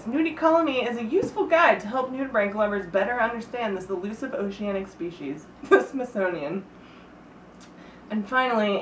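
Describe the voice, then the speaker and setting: Woman, high voice, giggly informal read-aloud, roomy sound from a distant mic. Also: slight background noise. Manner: giggly, often breaking into laughter. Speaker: reader of a birthday story. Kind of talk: reading aloud informally to friends.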